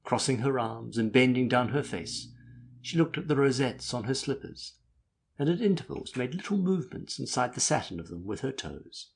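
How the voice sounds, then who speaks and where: A man narrating classic literature as an audiobook, with a husky voice and measured storytelling manner. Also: medium pitch, lively intonation.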